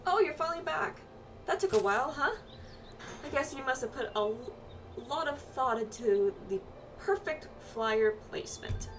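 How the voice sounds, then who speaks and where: A woman's voice: sits high, lively intonation, moderate pace, a little hiss or hum. A woman chatting casually through a game playthrough, reading the on-screen text aloud and stumbling now and then. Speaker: game streamer doing a playthrough. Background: game music.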